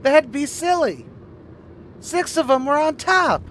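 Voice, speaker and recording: An eager, goofy teenage boy in a vintage radio sitcom. His voice is very high and squeaky for a male, with faint car noise behind it. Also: sing-song, measured pace, fairly bright tone, heavily acted. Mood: eager, sheepish. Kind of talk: 1950s radio sitcom acting.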